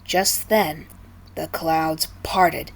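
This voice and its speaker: A woman reading a children's story aloud in a deliberate, dramatic manner. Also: sits low, fairly even intonation, creaky.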